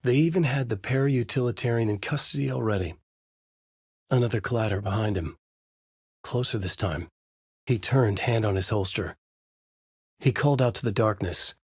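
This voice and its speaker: An actor playing a brooding writer who narrates his dark thriller in a grave, deliberate, noir style, as in a video game. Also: lowish voice, husky, no echo, clean studio sound. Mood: tense, ominous. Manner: grave, deliberate, moody storytelling.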